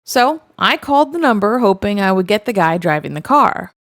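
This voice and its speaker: A woman's voice: medium pitch, sing-song, normal speed, a bit bright, no room sound, studio quality. A woman narrating first-person stories in a wry, matter-of-fact way. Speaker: narrator reading online stories.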